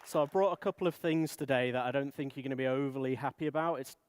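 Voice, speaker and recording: A man's voice: mid-range voice, quick pace, a little hiss or hum. A man in his forties with a bad cold, sounding nasal as he gives a technical conference talk, earnest with light jokes. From Britain. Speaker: conference speaker. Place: conference stage.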